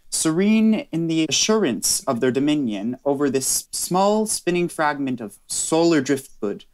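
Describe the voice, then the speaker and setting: A man's voice: mid-range voice, lightly acted. A man playing a grave, solemn storyteller, giving scripted narration in a 1930s radio drama. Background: piano music.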